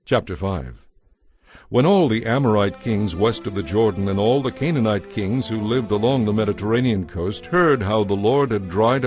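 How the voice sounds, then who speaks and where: Low-pitched man narrating scripture solemnly. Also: a little mellow. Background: background music.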